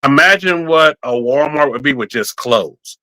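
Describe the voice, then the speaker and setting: A man's voice: mid-range voice, moderate pace, dry recording, low-quality recording. Guy from new york telling stories on a podcast, voice jumps all over, dry sound. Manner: animated storyteller, acts out quotes. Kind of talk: casual podcast chat.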